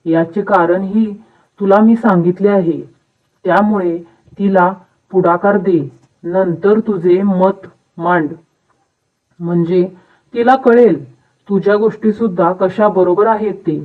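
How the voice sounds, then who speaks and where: A man's voice: high voice, fairly even intonation, slow. Thin phone-like young husband played by a man. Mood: advising. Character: devoted, reasonable young husband, protective of his mother. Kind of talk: animated moral story dubbing. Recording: muffled, narrow phone-like sound, distant.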